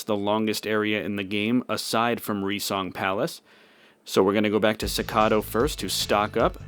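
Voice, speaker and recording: A man's voice: lowish voice, a bit bright. A man giving casual walkthrough commentary on a video game. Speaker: gameplay walkthrough host. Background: game music.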